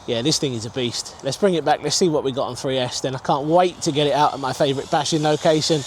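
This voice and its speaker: A man's voice: medium pitch, fast, background noise. A man presenting a casual, enthusiastic product review, talking chattily to his viewers as the host. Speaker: RC car reviewer and video host.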